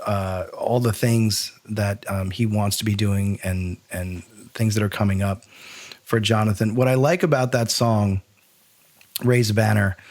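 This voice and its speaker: A man's voice: on the low side, darkish tone. A man hosting a podcast in casual, conversational chat, given to joking asides. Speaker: podcast host.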